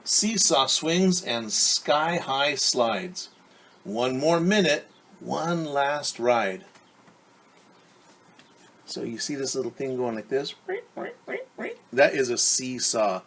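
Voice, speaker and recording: A man with a slightly rough, husky voice leads storytime as a preschool teacher. He reads short lines of a picture book, then explains them in simple, instructive phrases meant for small children. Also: medium pitch, slow, long pauses, a bit bright. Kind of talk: read-aloud storytime for young children, with explanations.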